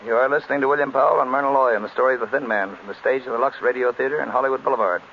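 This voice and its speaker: Very fast male vintage radio announcer. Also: mid-range voice. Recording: muffled, very noisy vintage radio broadcast.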